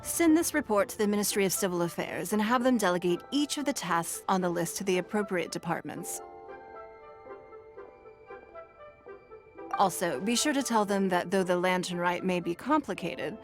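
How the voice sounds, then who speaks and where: A woman's voice: lowish voice, animated, a little fast. An actress playing a dignified, gracious noble lady in a video game, speaking formally and with composure. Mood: commanding. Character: poised, dignified noble lady who governs a city. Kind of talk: video game character acting. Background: game background music.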